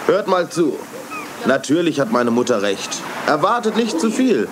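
An actor playing a young pop singer in a 1980s children's radio play, speaking in a friendly, relaxed way with a touch of self-mockery. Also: male voice, fairly high pitch, moderate pace, background noise. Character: young male pop singer, easygoing and charming. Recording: studio drama with party crowd.

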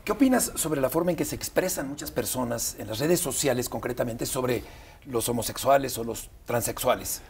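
A man's voice: mid-range voice, a little fast, creaky, slight background noise. An older man, asking questions as a television interviewer in a conversational style. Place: indoor TV studio set.

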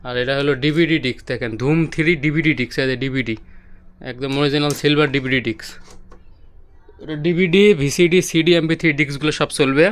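A man's voice: fairly high pitch, fairly even intonation, fast, a bit bright, clear, resonant tone. A man with a Bangladeshi accent giving a casual, repetitive product demonstration as a seller showing electronics to viewers.

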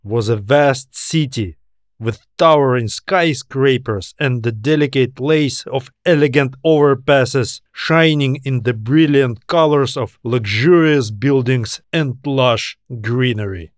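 Slow-paced man narrating, dry studio sound. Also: medium pitch, dry recording. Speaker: author narrating own science fiction story. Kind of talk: scripted audiobook narration.

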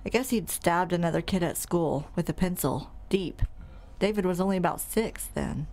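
A woman's voice: lowish voice, moderate pace, a little mellow, close to an everyday voice. A woman playing a reserved, matter-of-fact radio station coworker in an audio drama, speaking casually. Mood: guarded, concerned. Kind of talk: horror audio drama acting.

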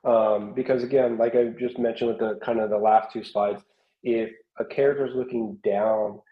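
An American man in his thirties explaining things informally as an art instructor in an online workshop, talking through examples. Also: lowish voice. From South Carolina, United States. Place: small home room, indoors. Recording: roomy, slightly dull webcam audio.

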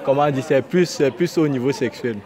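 A young man answers questions outdoors in short, laid-back replies, his voice middle in pitch. Crowd chatter is heard behind him. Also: brisk. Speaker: interviewee. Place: outdoors on a busy street.

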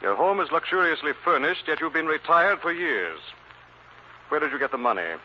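An actor playing a commanding, suspicious sleuth in an old-time radio drama. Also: male voice, a little high, expressive, fairly quick, close to an everyday voice. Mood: accusing. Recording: dull, noisy old radio recording.

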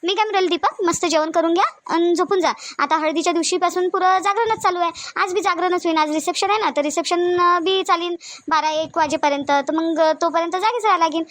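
A woman's voice: very high-pitched, fairly quick, a bit bright, dry recording. A woman voicing village women of a family in a homemade cartoon, talking colloquially and expressively with a Vidarbha Marathi accent. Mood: caring, chatty. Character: women of a village family: shy bride, chatty sisters-in-law, scolding aunt.

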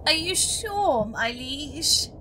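A woman's voice: high-pitched, expressive, extremely slow, bright, forceful tone, slight background noise, heavily acted. A woman playing a servile, complaining little demon henchwoman in a comic audio drama.

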